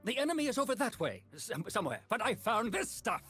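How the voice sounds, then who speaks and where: A man voicing an eager, clueless little yordle scout in a video game, hesitant and bumbling, with a husky voice. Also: very high voice, big pitch swings, fast talker, pushed and bright, no room sound, faint music behind, exaggerated voice. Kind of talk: video game character voice lines.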